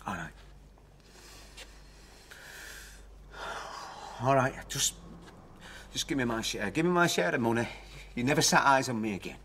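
An actor playing a volatile, pleading estranged husband in a radio drama, agitated and insistent. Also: male voice, medium pitch, lively intonation, a little fast, a bit bright, a little hiss or hum. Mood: angry.

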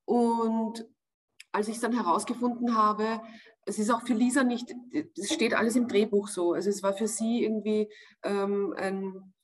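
A woman in her forties with an Austrian accent, answering interview questions from home over a video call. She speaks casually and often pauses with fillers while searching for the right words. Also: medium pitch, flat delivery. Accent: Austrian German. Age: 40s. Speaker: actress, interview guest. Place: home room, indoors. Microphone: video call webcam.

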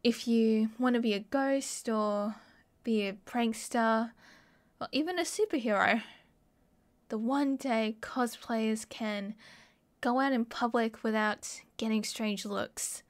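A young woman with an Australian accent playing an excitable, mischievous college friend in a casual audio roleplay. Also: a little high. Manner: playful, giggly, slangy. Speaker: roleplay voice performer. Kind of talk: ASMR roleplay acting, casual chat to a friend.

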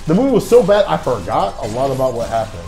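A man's voice: mid-range voice, fast talker, soft background music. A young man chatting casually and slangily as he reacts on a gaming panel, in a roomy space. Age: young adult. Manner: animated, slangy. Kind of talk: casual chat, reacting to trailers. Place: small furnished room, on a couch.

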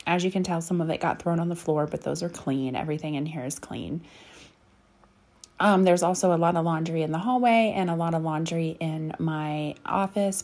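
A woman's voice: fairly low pitch, creaky, a little mellow. A woman in her thirties chatting casually over her home cleaning video, rambling with lots of ums. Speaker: cleaning vlogger. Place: home bedroom.

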